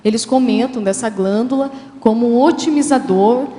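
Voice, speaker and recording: Female guest, mellow and fairly high voice, hesitant explainer, hall reverb. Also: moderate pace. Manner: hesitant, many fillers. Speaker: guest author. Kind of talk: interview answers, explanatory.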